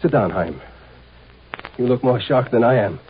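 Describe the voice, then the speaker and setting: An actor playing a shrewd, condescending native agent posing as an imperial marshal, in a 1950s radio drama. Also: male voice, mid-range voice, animated, moderate pace, heavy background noise, lightly acted. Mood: calm command. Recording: muffled, tinny 1950s radio recording.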